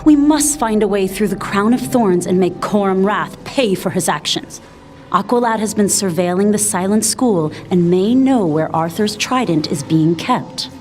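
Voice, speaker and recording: A woman's voice: mid-range voice, normal speed, darkish tone, lightly acted. A woman playing a proud warrior queen in a video game, firm and imperious in manner. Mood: relieved, determined. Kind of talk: video game cutscene acting.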